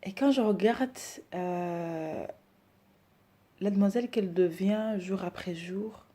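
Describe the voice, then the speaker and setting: A woman in her thirties, from Rwanda and raised in Belgium, introducing herself and her decor business, hesitant with many pauses. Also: mid-range voice, warm tone. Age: 30s. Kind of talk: personal introduction to camera.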